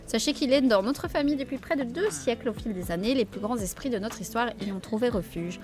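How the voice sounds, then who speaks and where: A woman's voice: fairly high pitch, fairly quick, natural voice. A woman voicing a haughty middle-aged café owner who speaks in a prim, condescending, formal way.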